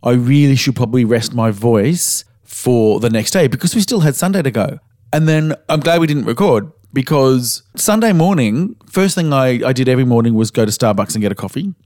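An Australian man co-hosting a podcast, slightly hoarse as he recovers his voice, telling stories in a frank, casual way with the odd swear word. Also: medium pitch, animated, a little fast, darkish tone, dry recording, studio quality. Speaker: podcast co-host.

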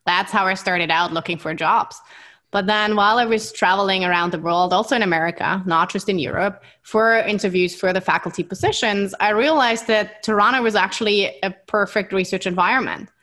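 A woman with a German accent answers interview questions as a scientist, her English fluent but clearly learned, her talk excitable and eager. Her voice sits at an ordinary pitch for a woman but sounds bright and forward. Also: a little fast. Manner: excitable, enthusiastic. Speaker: scientist guest. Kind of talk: interview conversation.

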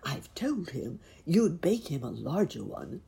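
A woman as kindly gentleman bunny, storybook, courteous, gentle, low, raspy. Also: sing-song, a little slow, full character voice. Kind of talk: children's storybook character voice.

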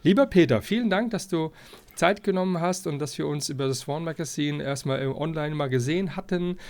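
A man hosting a casual podcast, talking in a halting, wordy way and circling around his point. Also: fairly high pitch, darkish tone. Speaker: podcast host. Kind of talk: rambling, casual podcast introduction and interview.

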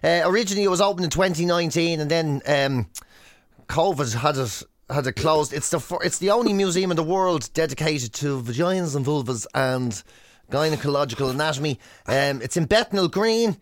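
A man's voice: fairly high pitch, lively intonation, brisk, dry recording, clean studio sound. An Irish man co-hosting a radio show, chatting casually and telling funny stories in a jokey, mock-enthusiastic way.

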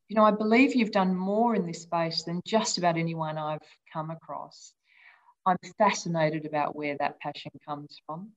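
A woman hosting a leadership podcast, reading her intro and asking earnest, enthusiastic questions. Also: on the low side. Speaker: podcast host and interviewer. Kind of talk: scripted intro then interview questions. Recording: dull, lo-fi sound.

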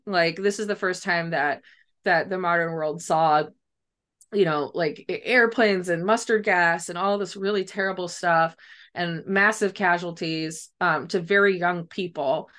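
A woman's voice: fairly low pitch, a little slow, fairly bright tone. A woman talking casually as a guest author in an interview, chatty and loose, often saying like and kinda. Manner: chatty, hedging, frequent "like" and "kinda".

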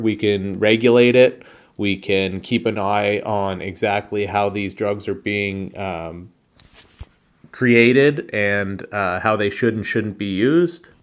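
Man hosting a solo podcast, halting delivery. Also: fairly low pitch. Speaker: podcast host. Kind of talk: solo podcast monologue addressed to listeners.

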